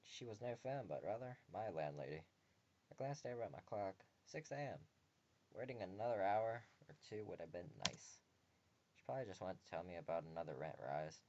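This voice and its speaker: A man's voice: low voice, brisk, mellow, slight background noise. Man, game voice-over, reads story text aloud, dull lo-fi sound, faint ambience. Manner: reads narration and dialogue in a mostly plain voice, joking asides. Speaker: game video host. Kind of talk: reading a visual novel aloud with commentary. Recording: dull lo-fi home recording with faint background ambience.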